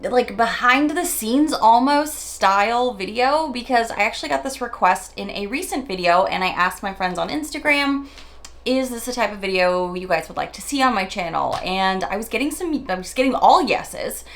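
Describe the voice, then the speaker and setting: A woman beauty vlogger chatting casually while doing her morning makeup, her voice raspy from just waking up, a little nervous and rambling, tripping over her words and laughing at herself. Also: on the high side, lively intonation, moderate pace, fairly bright tone. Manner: nervous, self-deprecating, rambling with stumbles. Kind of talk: chatty get-ready-with-me monologue.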